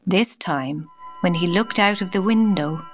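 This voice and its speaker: A woman's voice: lowish voice, measured pace, over music, lightly acted. A woman with an Irish accent playing the narrator of a children's tale in scripted storytelling. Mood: calm.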